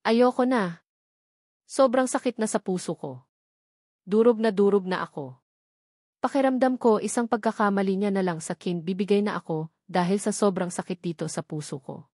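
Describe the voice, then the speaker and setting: A woman narrates a romance novel in the first person, telling it as drama. Her voice sits at a medium pitch, and the recording is dry and close. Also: moderate pace, long pauses, mellow, no room sound. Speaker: audiobook narrator.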